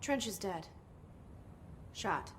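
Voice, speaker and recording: An actress playing a guarded, wry young woman in a video game, speaking in a measured, deliberate way. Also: medium pitch, animated, a little hiss or hum. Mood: frank, careful. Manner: measured, deliberate, hushed in asides. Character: guarded young woman visitor, newly made director, wry, inwardly musing. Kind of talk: cinematic game acting with intimate inner monologue.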